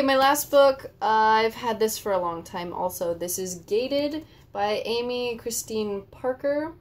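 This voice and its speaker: Lower-pitched woman, casual book chat. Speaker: book channel co-host.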